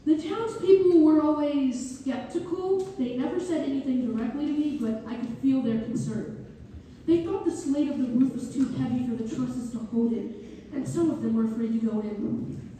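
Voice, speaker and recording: A woman's voice: high voice, normal speed, dark tone, lightly acted. Woman playing an earnest young architect, echoey hall. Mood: fond, earnest. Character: earnest young male architect fondly recalling a patron. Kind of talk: dramatic read-through on stage. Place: reverberant hall, community theater performance. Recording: echoey hall from far off, faint noise.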